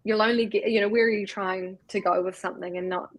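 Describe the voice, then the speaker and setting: A woman's voice: mid-range voice, steady tone, quick pace, dry recording. A woman co-founder from New Zealand answering interview questions in a reflective, casual way, with many fillers. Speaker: co-founder and co-CEO, guest.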